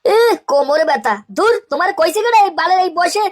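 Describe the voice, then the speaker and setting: A man voicing a lazy, irritable grown son in a comic cartoon, grumbling and swearing in a pitched-up voice. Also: expressive, quick pace. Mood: indignant.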